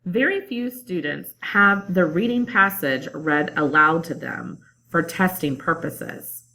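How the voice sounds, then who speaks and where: A woman teacher narrating a calm instructional screencast about online testing. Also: deep voice, slow pace, low-quality recording. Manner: calm, explanatory.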